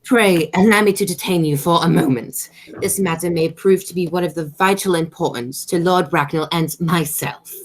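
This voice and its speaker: A woman's voice: fairly low pitch, normal speed, lightly acted. A woman playing a stern, imperious aristocratic lady in a radio play, speaking in a haughty, commanding, formal way. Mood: haughty, disdainful.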